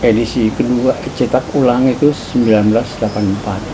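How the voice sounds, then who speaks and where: A man in his seventies with a Jakarta accent, recounting his memories slowly and deliberately in an interview at home. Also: medium pitch, fairly even intonation. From Indonesia. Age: 70s. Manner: measured, deliberate storytelling. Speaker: interviewee, linguist. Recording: loud background noise.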